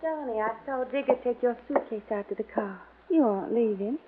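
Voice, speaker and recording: An actress playing an unhappy, money-hungry wife in a languid, flirtatious 1950s radio drama style. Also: female voice, fairly high pitch, a little fast, phone-quality sound, noisy background, lightly acted. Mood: resigned, pleading. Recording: band-limited old 1950s radio recording.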